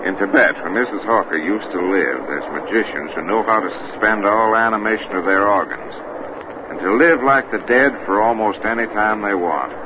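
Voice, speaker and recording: A deep-voiced, laconic investigator, played by a man, talks in a folksy drawl. The acting is from 1930s radio horror, on a muffled recording with clear noise. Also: moderate pace, close to an everyday voice. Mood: grave, steady. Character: steady, laconic investigator and champion pistol shot, awkwardly lovestruck.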